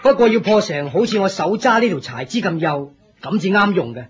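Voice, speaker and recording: A man's voice: high-pitched, animated, fairly quick, slightly rough, muffled recording, heavily acted. A man with a Hong Kong Cantonese accent playing a friendly, simple, devout senior disciple in a radio drama, explaining things plainly and patiently. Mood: helpful.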